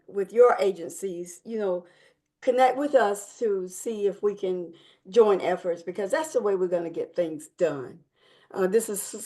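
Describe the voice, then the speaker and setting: A woman chairing an online committee meeting, speaking in a measured, encouraging way. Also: on the low side, dark tone.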